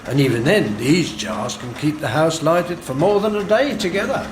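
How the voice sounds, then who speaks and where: A man's voice: fairly high pitch, animated, normal speed, reverberant, noisy background, natural voice. A man playing a chatty working-class handyman. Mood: proud, friendly. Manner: deferential, chatty, says "Mum". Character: working-class handyman and electrical engineer, proud of his work. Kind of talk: radio drama acting.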